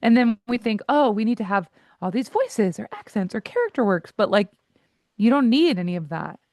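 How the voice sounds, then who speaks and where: A woman in her forties, a voice coach and guest in an interview, who talks candidly in quick asides and laughs easily. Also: a little high, expressive, a little mellow, dry recording, studio quality.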